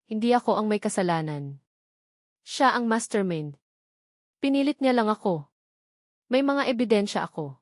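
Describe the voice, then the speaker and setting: A woman's voice: mid-range voice, expressive, normal speed, pauses often, no echo, clean studio sound, lightly acted. A woman reading the part of a resolute, earnest husband defending his family in an audio drama. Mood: earnest pleading. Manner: firm, earnest.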